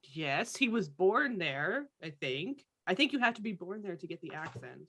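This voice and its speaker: A woman's voice: fairly low pitch, very animated, moderate pace, a bit bright. A woman playing a tabletop roleplaying game, teasing another player in character. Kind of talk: playful in-character banter.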